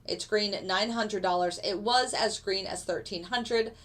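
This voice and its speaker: A woman's voice: medium pitch, unhurried, a bit bright. A woman who teaches trading, casually explaining her settings and walking viewers through a live chart as a trade plays out.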